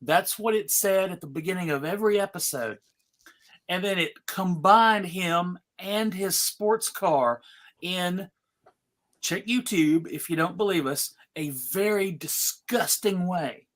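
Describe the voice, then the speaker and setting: A host casually running a live event, announcing in a theatrical, mock-serious way with halting pauses. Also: mid-range voice, fairly bright tone. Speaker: panel host and narrator.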